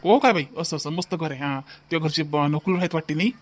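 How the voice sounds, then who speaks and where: An easygoing older village father-in-law from a cartoon comedy , acted by a man, pitched high for a man. He rambles along and repeats himself in a folksy Vidarbha Marathi accent. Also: quick pace, close to an everyday voice. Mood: friendly, curious. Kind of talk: rustic cartoon comedy dubbing.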